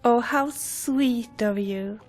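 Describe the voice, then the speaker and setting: A woman voicing an affectionate, jealous adventurer companion in a fantasy game, with a creaky voice. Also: fairly high pitch, slow, faint music behind.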